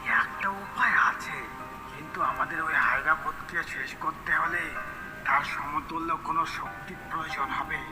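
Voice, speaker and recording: A man voicing a wise old forest mystic in a cartoon, explaining with deliberate authority. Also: fairly high pitch, lightly acted, rough recording. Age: older. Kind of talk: cartoon character voice acting.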